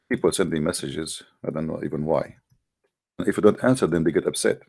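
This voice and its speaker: A man's voice: very deep voice, gravelly, a little mellow, husky, dry recording, clean studio sound. A man, an English speaker, hosting a live call-in debate show in a hesitant but challenging way, with many fillers. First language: Arabic.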